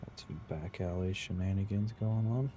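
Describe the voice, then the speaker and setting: A man's voice: deep voice, steady tone, moderate pace, warm tone, a little hiss or hum. A man streaming a video game, giving casual live commentary in offhand, muttered remarks to himself.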